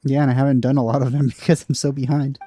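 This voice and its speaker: Flat, mellow man commentating on a game. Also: breathy edge, no echo. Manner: low-key, halting, thinking aloud. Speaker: let's play commentator. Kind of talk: casual gameplay commentary.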